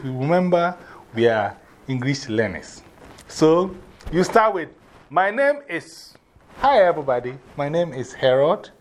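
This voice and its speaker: A man with a Ghanaian accent giving informal classroom instruction, directing people and repeating his instructions. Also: medium pitch. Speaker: teacher of a drumming and English class.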